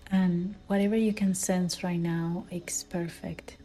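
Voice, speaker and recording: A woman leading a guided meditation in a soft, soothing way, with phrase endings that fall into breathy near-whispers. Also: on the low side, fairly even intonation, unhurried. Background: background music.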